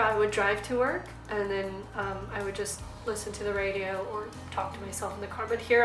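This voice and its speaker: A young woman from South Africa, slightly hoarse, chatting upbeat to camera in a casual vlog. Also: fairly high pitch, a bit bright. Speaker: vlogger. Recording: echoey room.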